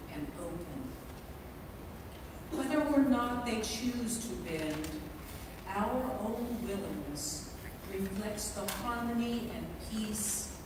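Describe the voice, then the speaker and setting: A woman gives a devotional address in a large, ringing hall. She is picked up from a distance, with noticeable noise behind her. Her delivery is deliberate and reflective, at a medium pitch. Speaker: service speaker, reader. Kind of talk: devotional reading and memorial tribute. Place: large reverberant indoor church space. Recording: echoey hall, distant mic, clear background noise.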